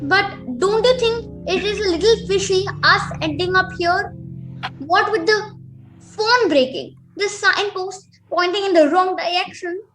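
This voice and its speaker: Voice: extremely high pitch, sharp tone, exaggerated voice, poor audio. A performer playing a nervous, imaginative young boy in an amateur radio play, protesting hesitantly.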